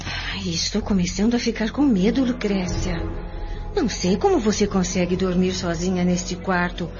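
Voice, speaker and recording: A woman's voice: mid-range voice, normal speed, lightly acted. A woman playing a timid, easily frightened young lady, a fretful friend, in a radio drama. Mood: fearful. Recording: dull radio play recording, music.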